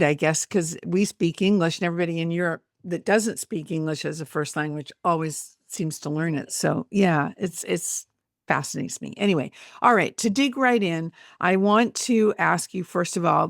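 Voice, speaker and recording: A woman's voice: fairly low pitch, vocal fry, no room sound, clean studio sound. A middle-aged woman with a North American accent hosting a podcast interview, chatty and full of fillers. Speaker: podcast host.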